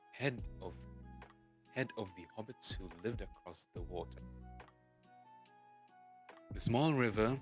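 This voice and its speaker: A man reading a storybook aloud in a halting way, stumbling and repeating words as he goes. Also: on the low side. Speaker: streamer reading a novel aloud. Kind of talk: dramatic storybook reading, unrehearsed. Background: soft lofi guitar music.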